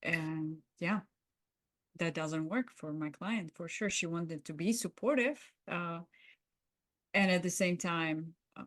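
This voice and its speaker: Female coach and co-host, slightly low register, discussing in a conversational way, feeling out her phrases. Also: slow, dry recording. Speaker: podcast co-host and coach with clients.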